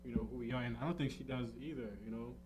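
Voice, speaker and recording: Fast-talking man chatting over music. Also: medium pitch, steady tone, low-quality recording. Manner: slangy, frequent "you know". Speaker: podcaster in a talk show conversation. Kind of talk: casual candid chat.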